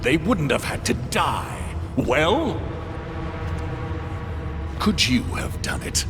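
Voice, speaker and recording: Slow, wildly swooping sinister male tormentor. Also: fairly high pitch, big pitch swings, over loud music, full character voice. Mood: accusing contempt. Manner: slow, theatrical, taunting delivery swelling into shouts. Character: menacing mocking male tormentor in a nightmare vision. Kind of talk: dramatic video game voice acting.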